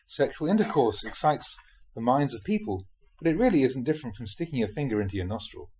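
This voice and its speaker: A man reading a spiritual talk aloud in a measured, explanatory way, often posing rhetorical questions. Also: mid-range voice, very animated, darkish tone, husky, low-quality recording. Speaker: monk reading dharma talks aloud.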